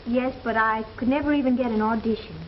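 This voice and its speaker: A woman's voice: a little high, fairly even intonation, quick pace, natural voice. An actress playing a guarded young showgirl in a 1930s American film comedy, with dry, cool retorts. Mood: dry teasing. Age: young adult. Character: wry, guarded young showgirl down on her luck. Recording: muffled, noisy 1930s film soundtrack.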